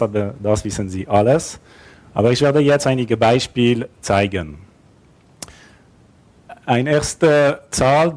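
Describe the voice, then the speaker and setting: A man's voice: mid-range voice, darkish tone. Man speaking hesitant German. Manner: non-native German with grammar slips, hesitant fillers, light self-deprecating humor. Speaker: conference speaker. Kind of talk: conference talk with slides. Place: conference hall. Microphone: headset or lapel mic.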